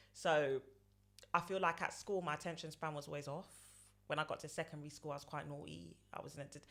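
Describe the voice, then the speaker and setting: A woman's voice: low-pitched, a bit bright. Rambling woman in her thirties. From London, England. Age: 30s. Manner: rambling, repeats herself, many fillers. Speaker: podcast guest. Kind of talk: casual interview answers.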